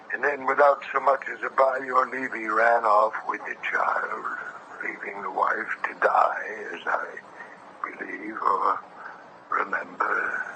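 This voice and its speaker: An actor playing a forgetful, testy property agent in his eighties in a 1970s radio drama, muttering and repeating himself in a breathy, creaky old voice. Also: mid-range voice, sharp tone, full character voice. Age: 80s as played. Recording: noisy vintage radio broadcast.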